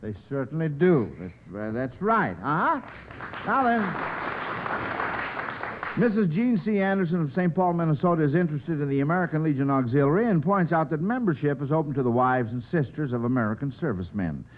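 High-pitched man hosting an old radio quiz. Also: expressive, fairly quick. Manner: chuckles often, repeats phrases. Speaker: quiz show host. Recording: noisy, tinny old radio broadcast.

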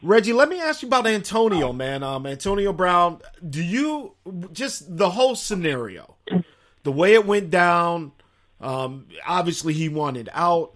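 A man with a raspy voice hosting a casual sports chat show, chatty and animated as he asks questions. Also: high voice, a little slow. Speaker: host.

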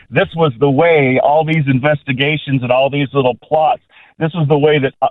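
A male radio caller speaks loudly and emphatically, pressing his point. His voice is mid-pitched and comes through a tinny, dry phone line. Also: no room sound. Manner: loud, emphatic. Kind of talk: call-in radio.

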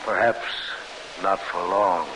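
An actor playing a measured, quietly scheming prisoner machinist in 1940s radio drama style. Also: male voice, low-pitched, steady tone. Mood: conspiratorial. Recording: noisy vintage radio broadcast recording.

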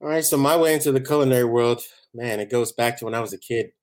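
A man from Barbados, chatting casually as a chef guest in a relaxed, rambling way. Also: medium pitch, fast talker. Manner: relaxed, rambling, many "you know" fillers. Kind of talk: casual interview chat.

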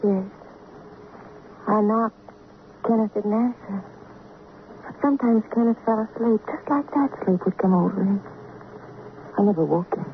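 A wistful, grieving young woman, played by an actress in a 1950s radio drama. Her phrases are short and halting. The sound is thin and tinny with faint hiss. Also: medium pitch, natural voice. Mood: dazed, wistful. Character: grieving, lovelorn young woman, dazed witness. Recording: tinny, noisy old radio recording.

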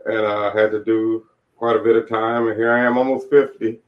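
Low-voiced man in his late forties, muffled audio. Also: level tone, normal speed. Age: late 40s. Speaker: interview guest, author. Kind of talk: candid personal storytelling. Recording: dull, lo-fi audio.

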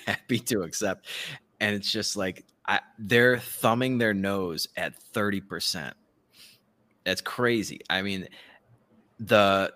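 A man with a fairly low voice hosts a casual talk podcast. He sounds sardonic and opinionated, swearing freely. The recording is very dry, with no room sound. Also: pushed and bright, dry recording. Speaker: podcast host. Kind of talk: casual talk show commentary.

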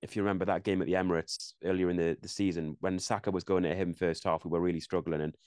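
A young Englishman with a low voice rattles through his opinions at a fast clip, doubling back and restarting as he thinks aloud. He is co-hosting a casual football chat. The sound is dry and close, with almost no room tone. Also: flat delivery, darkish tone, no room sound. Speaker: podcast co-host.

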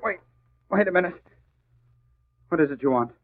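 An actor playing a nervous, cornered crooked lawyer in a 1940s radio drama, defensive and jittery. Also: male voice, high voice, animated, moderate pace. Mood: nervous, evasive. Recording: muffled, narrow-band old radio broadcast.

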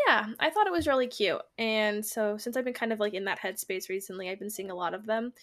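A woman co-hosting a podcast in casual, unscripted chat, telling stories in a giggly, animated way. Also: medium pitch, quick pace. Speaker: book podcast co-host.

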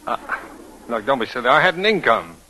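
A man's voice: mid-range voice, sing-song, brisk, sharp tone. An actor playing a hesitant, drily joking male job seeker in a 1940s radio comedy, with a sheepish manner. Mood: sheepish, wary. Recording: noisy old radio broadcast recording.

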